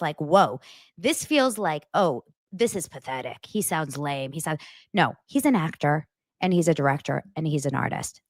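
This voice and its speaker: A woman's voice: on the low side, no room sound, clean studio sound. A woman hosting an online show, giving casual, exclamatory commentary straight to her audience. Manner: emphatic, exclamatory.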